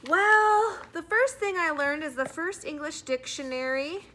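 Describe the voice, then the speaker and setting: A woman's voice: high voice, measured pace, sharp tone, slight background noise, pitched above the performer's own voice. A woman voicing a nervous but earnest schoolboy in a children's storybook, speaking plainly and a little hesitantly while giving a report.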